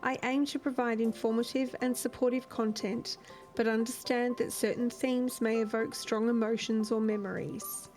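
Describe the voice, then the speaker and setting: A woman hosts a podcast in a calm, supportive way, reading a scripted intro before turning to her guest. Her voice sits a little high. Music plays clearly beneath her speech. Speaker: podcast host. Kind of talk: scripted intro then interview.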